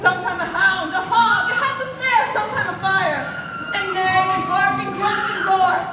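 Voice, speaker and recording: A woman's voice: very high voice, normal speed, bright, forceful tone, faint music behind, a faint crowd. A female student actor performing Shakespeare theatrically in a school stage play. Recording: echoey hall from far off, faint noise.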